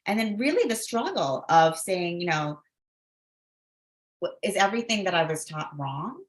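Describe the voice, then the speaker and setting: Woman from Bangladesh, low deep voice, reflective, many fillers, panel discussion. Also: very animated, moderate pace. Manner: reflective, frequent "you know" fillers.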